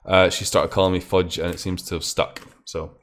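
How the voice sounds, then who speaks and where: Young Scottish host, casual and rambling, swears freely, restarts sentences. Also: male voice, deep voice, little pitch movement, moderate pace, a bit bright. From Scotland. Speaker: stream host. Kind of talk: casual chat on a live stream.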